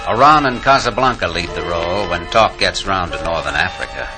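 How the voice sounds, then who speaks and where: A man acts as a solemn narrator of a wartime memorial in 1940s radio style. His voice is deep, with faint music underneath on an old broadcast recording. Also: moderate pace, lightly acted, poor audio.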